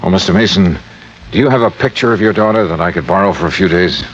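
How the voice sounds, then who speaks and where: Low-voiced radio detective played by a man, dull vintage sound. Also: a little hiss or hum, natural voice. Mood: polite probing. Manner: measured, polite, probing questioning. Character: male insurance investigator, probing, cool and businesslike. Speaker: lead investigator and narrator. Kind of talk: old-time radio detective drama acting and narration. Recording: dull old-time radio recording with music.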